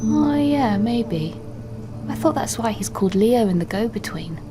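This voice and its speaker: A woman's voice: a little high, expressive, unhurried, music in the background. An actress playing a wistful teenage schoolgirl. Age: teens. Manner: wistful, musing. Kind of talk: radio drama acting.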